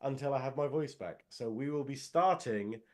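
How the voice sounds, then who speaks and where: A man's voice: mid-range voice, moderate pace, darkish tone. A man, co-hosting a casual podcast and rambling in a jokey way with lots of fillers. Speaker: podcast co-host. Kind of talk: casual chat.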